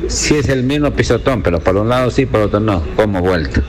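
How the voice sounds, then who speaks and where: A man's voice: on the low side, animated, fairly quick, crowd noise. A man with an Ecuadorian Spanish accent calling in to a sports show, blunt and mocking with folksy slang. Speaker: caller.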